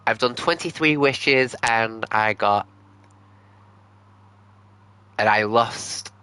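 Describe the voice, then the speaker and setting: A man's voice: medium pitch, takes it slowly, sharp tone, smooth. A man streaming a video game, giving casual commentary and murmuring reactions to himself.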